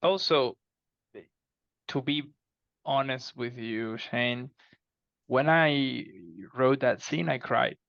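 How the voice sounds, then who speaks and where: Gravelly man on slightly dull audio. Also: medium pitch, slow, long pauses, fairly bright tone, no echo. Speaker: fiction author, podcast guest. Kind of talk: casual interview chat.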